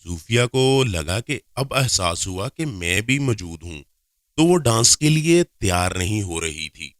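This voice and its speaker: A man's voice: fairly low pitch, very animated, a little slow, dry recording, studio quality. A man reading a scripted first-person story aloud as a narrator.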